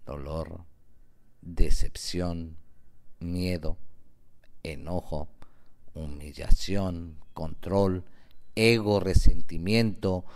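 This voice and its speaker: A man narrating a radio novel in a measured, dramatic way with deliberate emphasis. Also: sits low, steady tone, a little mellow.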